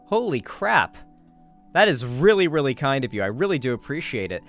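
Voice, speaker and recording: A man hosting a live stream, chatting casually and informally with his viewers in an animated way. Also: mid-range voice, moderate pace, faint music behind. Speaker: livestream host.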